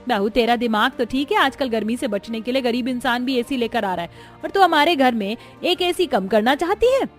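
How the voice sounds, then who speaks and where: A woman's voice: a little high, expressive, moderate pace, faint music behind, lightly acted. A woman voicing a middle-aged mother-in-law in a cartoon family drama, emphatic and matronly. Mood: scolding. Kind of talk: Hindi cartoon story voice acting.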